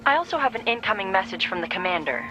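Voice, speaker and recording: An official-sounding female military operator gives formal, procedural replies. The voice sits at a medium pitch, in video game style, with music clearly audible behind it. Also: big pitch swings, fast talker, bright, forceful tone. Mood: businesslike.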